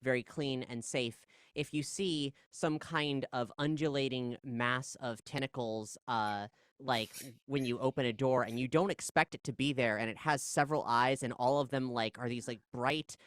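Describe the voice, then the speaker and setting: A podcast guest musing philosophically in casual conversation, speaking in a discursive, halting way with emphatic turns. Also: mid-range voice, steady tone, fairly bright tone, clean tone, no room sound, clean studio sound.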